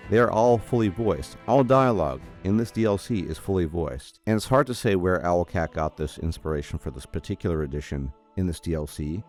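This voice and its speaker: A man's voice: deep voice, darkish tone. A man hosting a gaming video, talking casually and enthusiastically while congested from a cold. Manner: enthusiastic, chatty, formal greeting. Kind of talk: casual solo commentary to camera.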